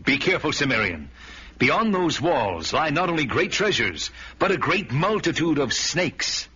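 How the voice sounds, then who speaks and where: An actor playing a worldly, wary thief, companion to a barbarian hero, with dramatic, ominous, archaic phrasing in a fantasy radio drama. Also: male voice, fairly high pitch, very animated, unhurried, breathy edge, exaggerated voice. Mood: awed, warning. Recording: dull radio recording with loud music.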